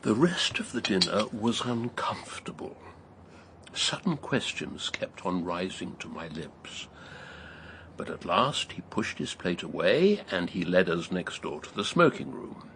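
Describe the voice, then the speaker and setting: Elderly reminiscing writer, low, creaky, expressive, literary radio drama narration. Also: male voice, unhurried, a little hiss or hum, exaggerated voice. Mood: reflective. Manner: reflective, literary. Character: elderly reflective writer narrating a memory from decades past.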